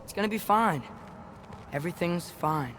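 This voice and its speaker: High-voiced anxious teenage boy hero. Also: expressive, a bit bright, slight background noise. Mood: uneasy, self-reassuring. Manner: earnest, halting, emotional. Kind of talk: cinematic video game acting. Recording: music behind the voice.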